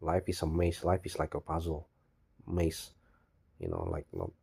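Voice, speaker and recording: Male vlogger, non-native English speaker, deep relaxed voice, unscripted rambling with frequent repeats and restarts. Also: gravelly, husky. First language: not English. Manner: repetitive, halting, restarts words and phrases often. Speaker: video blogger. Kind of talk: spontaneous rambling monologue.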